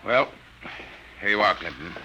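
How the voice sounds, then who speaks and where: An actor playing a grave, solicitous army major in a 1950s radio drama, measured and gruffly kind. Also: male voice, sits low, expressive. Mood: grave, concerned. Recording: noisy vintage 1950 radio broadcast.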